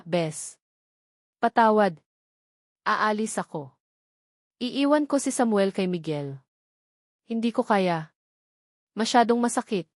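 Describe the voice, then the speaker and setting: A narrator acts out a heartbroken young mother saying sorry and goodbye. Her delivery is halting and sorrowful, in a mid-pitched voice. The audio drama sound is dry and close. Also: no room sound. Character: heartbroken young mother, remorseful, writing farewell in journal. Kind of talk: journal read in romance audio drama.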